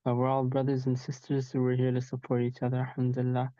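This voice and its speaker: A man hosting an online town hall on a video call, measured and speaking with frequent religious phrases over dull lo-fi call audio. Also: medium pitch, monotone, dark tone. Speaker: host and founder.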